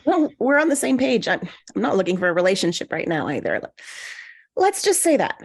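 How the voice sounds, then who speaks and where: A woman's voice: medium pitch, big pitch swings, brisk, slightly rough, dry recording. A woman playing an eager, insecure woman in her mid-thirties with a hesitant manner, in a screenplay table read. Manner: hesitant, overeager.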